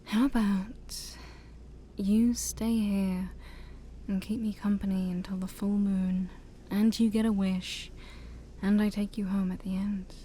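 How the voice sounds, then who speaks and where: A woman plays an ancient demon princess, haughty yet shy and easily flustered, in an ASMR audio roleplay. Her voice is soft, breathy and mellow, often close to a whisper. She speaks slowly and formally, over a light bed of background noise. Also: medium pitch, level tone, natural voice. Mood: coolly bargaining. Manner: theatrical, formal wording with awkward hesitations.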